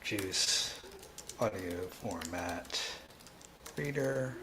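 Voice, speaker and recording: A man hosting a live coding tutorial, thinking aloud in a halting, informal way and often restarting his sentences. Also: deep voice, expressive, background noise. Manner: halting, self-interrupting, informal. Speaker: livestream host, programming instructor.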